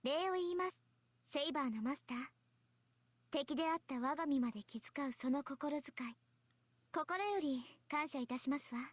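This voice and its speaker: Woman voicing a very high, haughty little anime girl. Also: fast talker, no echo, close to an everyday voice. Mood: formal, polite. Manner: prim, teasing, childlike. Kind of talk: Japanese anime game voice acting. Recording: game music under the voice.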